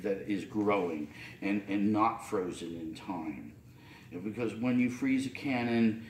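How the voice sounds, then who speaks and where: A man's voice: lowish voice, slight background noise. A middle-aged man, a priest, giving a loose, unscripted sermon full of fillers and restarts from a pulpit in a small chapel. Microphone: camera at a distance. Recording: roomy, distant camera audio.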